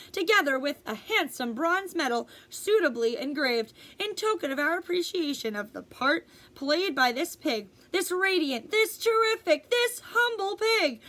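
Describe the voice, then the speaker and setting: Woman voicing a pompous male fair announcer, bright and ceremonious. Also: high-pitched. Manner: grand, formal, ceremonious.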